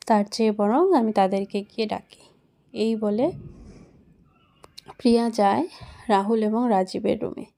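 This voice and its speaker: A woman's voice: fairly high pitch, warm tone. A woman narrating a children's cartoon story as a storyteller.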